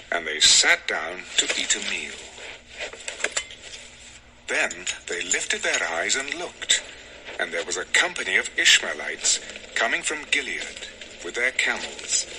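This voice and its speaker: Low, expressive male Bible narrator. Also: background noise. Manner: solemn storytelling. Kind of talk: scripted dramatized Bible narration. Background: music.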